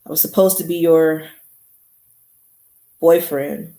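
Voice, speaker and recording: A woman's voice: low voice, steady tone, warm tone, pitched below the performer's own voice. A woman reading a remorseful young man. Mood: earnest. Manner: earnest, halting confession. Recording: roomy echo.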